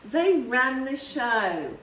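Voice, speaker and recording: Woman reading as an exasperated storybook dad, very expressive. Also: sits high, drawn-out words, a little hiss or hum, pitched above the performer's own voice, full character voice. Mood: musing. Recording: roomy echo, distant mic.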